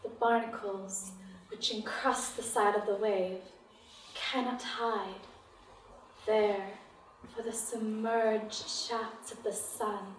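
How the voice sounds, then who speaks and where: A woman reads poetry aloud extremely slowly, in short, deliberate phrases. She is some way from the mic in an echoey room. Her voice sits at a medium pitch. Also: little pitch movement. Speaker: poetry reader. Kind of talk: slow poetry recitation. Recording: echoey room, distant mic, faint noise.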